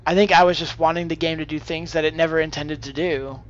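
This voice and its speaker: High-voiced man streaming a game. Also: level tone, fast, lo-fi sound. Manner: hesitant, thinking aloud, exclaiming at the game. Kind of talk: casual live gaming commentary with tips for a fellow player.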